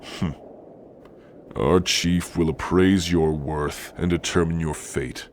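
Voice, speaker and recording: A hulking orc warrior with an exceptionally deep voice. He speaks slowly and sternly, with archaic, menacing phrasing and dark amusement, in an ASMR fantasy roleplay. Some background noise sits behind him. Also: steady tone. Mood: stern, threatening. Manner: slow, archaic, threatening phrasing.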